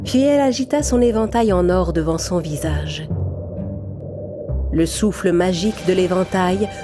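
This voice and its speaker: A woman's voice: lowish voice, a little slow. Woman narrating a children's story over music.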